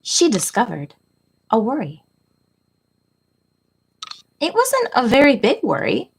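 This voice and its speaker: A middle-aged woman hosting a read-aloud for children as a tutor, speaking in a deliberate, expressive storytelling manner. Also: on the high side, creaky, slightly rough.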